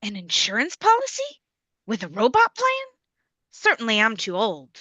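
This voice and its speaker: A woman's voice: a little high, wildly swooping pitch, moderate pace, sharp tone, husky, full character voice. A woman playing a worried, plaintive elderly lady in a sketch comedy table read. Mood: fretful. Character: fretful elderly woman chatting nervously.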